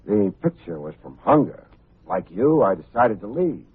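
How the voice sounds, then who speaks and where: An actor playing a cool, wry, hard-boiled private investigator who talks and narrates in old-time radio drama style. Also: male voice, fairly low pitch, very animated, husky, heavily acted. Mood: cool needling. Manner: wry, unhurried, wisecracking. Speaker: actor playing the lead detective, also narrating. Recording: muffled narrow-band old radio recording, faint music.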